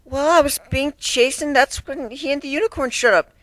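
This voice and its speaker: A man's voice: extremely high pitch, normal speed, pushed and bright, dry recording, pitched above the performer's own voice. A man voicing a young female griffin on trial in a dramatic story reading, timid and defensive, with a hesitant, stammering delivery. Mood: timid, uneasy. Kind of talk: dramatic fan fiction reading.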